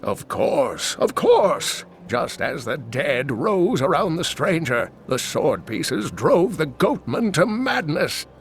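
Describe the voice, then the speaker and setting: A man's voice: a little high, sing-song, slow, few pauses, a little hiss or hum, heavily acted. A man voicing a wise old scholar and mentor, earnest and inquisitive, in a video game. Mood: eager.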